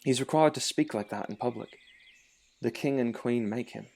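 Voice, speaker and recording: A man's voice: medium pitch, moderate pace, gravelly, a little mellow, natural voice. A man playing a loyal, level-headed young knight and confidant in an audio drama. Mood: patient.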